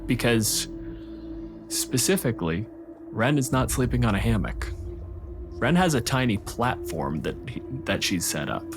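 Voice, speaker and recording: A man narrating a tabletop game as game master, speaking in measured, halting phrases. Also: mid-range voice, big pitch swings, over music.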